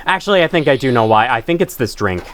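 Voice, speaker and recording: A young man with a stuffy nose, rambling and swearing casually through relaxed gameplay commentary. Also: mid-range voice, quick pace, slight background noise. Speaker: gaming channel host. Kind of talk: casual let's-play commentary.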